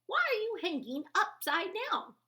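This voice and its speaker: Brash bat stranger, storybook, played by a woman: loud, insistent, know-it-all, big pitch swings. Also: big pitch swings, unhurried, heavily acted. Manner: loud, emphatic. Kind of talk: children's storybook read-aloud.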